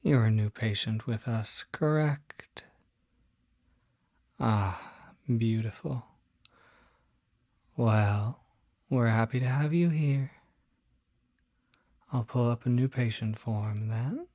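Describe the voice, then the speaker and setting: A courteous, reassuring demon doctor, played by a man in an ASMR roleplay. The delivery is very slow and gentle, with a politely formal bedside manner that often slips toward a whisper. The tone is dark and relaxed, captured close and dry. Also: medium pitch, no room sound. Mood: gentle, welcoming. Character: courteous, soft-spoken male demon doctor, fussy and reassuring. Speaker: doctor at a supernatural clinic.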